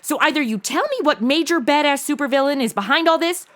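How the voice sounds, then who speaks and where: A woman's voice: sits high, fast, a bit bright. A woman playing a sassy, irreverent modern young woman in a comedic audio drama, slangy and full of wry delivery. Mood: feisty, indignant.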